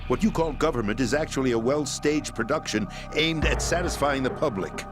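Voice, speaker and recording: An American actor playing a bitter, ambitious middle-aged president in a video game, theatrical, world-weary and cynical. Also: male voice, medium pitch, a little fast, close to an everyday voice. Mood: cynical lecturing. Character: bitter, ambitious male president turned power-hungry conspirator. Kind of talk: dramatic video game voice acting. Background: music.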